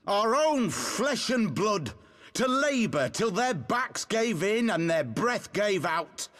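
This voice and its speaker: A man's voice: high-pitched, fairly bright tone, full character voice. An actor playing an impassioned rebel leader rallying his exiled countrymen in a game cutscene, with emphatic, declamatory oratory. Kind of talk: theatrical video game oratory.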